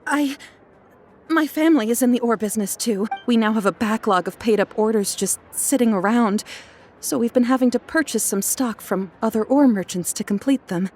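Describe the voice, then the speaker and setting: An actress voicing a flustered, apologetic young merchant in a video game who stammers anxiously. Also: female voice, a little high, moderate pace, darkish tone, slight background noise, natural voice. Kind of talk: game cutscene voice acting.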